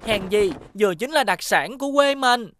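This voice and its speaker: A woman voicing a sweet, wide-eyed young child in an animated cartoon, expressive, with a southern Vietnamese accent. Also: on the high side, moderate pace, a little hiss or hum. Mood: impressed, curious. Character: sweet, appreciative young grandchild.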